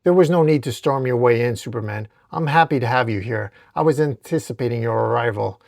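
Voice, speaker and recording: A man voicing a smug, bitter mad-scientist villain with a measured manner in a told story. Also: medium pitch, gravelly, darkish tone, no echo, studio quality, close to an everyday voice. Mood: smug, menacing.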